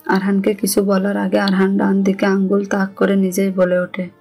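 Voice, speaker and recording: A woman's voice: medium pitch, monotone, normal speed, a little mellow, breathy edge. A woman with a Bangladeshi accent reading scripted narration of a romantic story. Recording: music under the voice.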